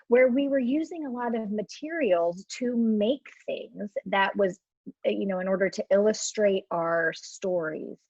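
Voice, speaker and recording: A woman's voice: medium pitch, no room sound. Chatty woman presenting on a video call. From Ohio, USA. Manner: chatty and self-deprecating, laughs often, many fillers. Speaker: education professor presenting a webinar. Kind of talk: live webinar presentation. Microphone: computer mic on a video call. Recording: dull, slightly lo-fi video-call audio.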